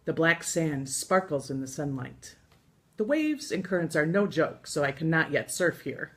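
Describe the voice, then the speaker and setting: An American woman reading her own scripted travel memoir aloud in an expressive storytelling manner, with deliberate pauses. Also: low-pitched. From United States. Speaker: author reading from own book.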